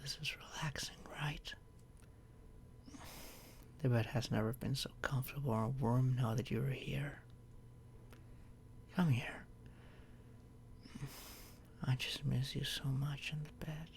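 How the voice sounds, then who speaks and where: Casting: male actor as gentle, protective boyfriend for ASMR roleplay; unhurried, soothing, breathy delivery, slight background noise. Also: mid-range voice, steady tone. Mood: soothing, affectionate. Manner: soft, soothing, often dropping into breathy whispers. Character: tender, protective boyfriend soothing his overworked partner to sleep.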